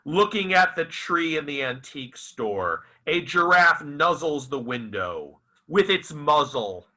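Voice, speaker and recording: A man reciting his own literary fiction as its author, in a theatrical, deliberate and emphatic manner. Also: a little high, very animated, bright, forceful tone. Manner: dramatic, deliberate, emphatic with repeated phrases. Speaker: author reading own novel aloud.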